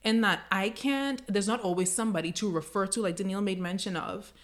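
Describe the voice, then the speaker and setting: A woman from the Bahamas, an educator who works with international students, speaking in a measured, thoughtful way on a podcast. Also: medium pitch. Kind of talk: casual podcast discussion.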